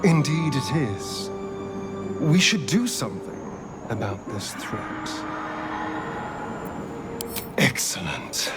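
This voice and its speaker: Arch, drawling vampire. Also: male voice, medium pitch, very animated, takes it slowly, long pauses, over loud music. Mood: sly. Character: arch, sardonic, aristocratic male vampire rogue. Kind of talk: video game character acting.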